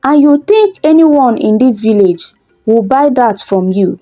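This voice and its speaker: A scornful, sarcastic old village man from a folktale, acted out by a woman. The speech is slow and widely swooping, full of mockery. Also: a little high, lively intonation, mellow, faint music behind. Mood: mocking. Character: mocking, cruel male village elder.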